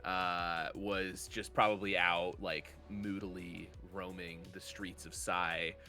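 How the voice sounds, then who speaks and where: A man with a deep voice playing a tabletop game, describing his character in a hesitant, rambling way. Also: little pitch movement, slow pace, sharp tone, faint music behind. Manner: hesitant, rambling, with many fillers. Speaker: player.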